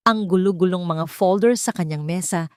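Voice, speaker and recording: A Filipino woman narrates a dramatic love story in scripted style. Her voice sits fairly low, and the recording is dry and close, with very little room sound. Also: moderate pace, no echo.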